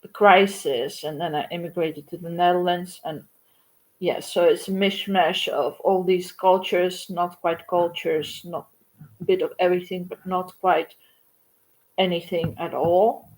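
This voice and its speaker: A woman in her forties from Ukraine, speaking English, telling a halting, reflective livestream story about her art. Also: on the low side, fairly even intonation, rough recording. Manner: halting and reflective, many pauses and fillers. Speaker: artist. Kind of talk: livestream monologue.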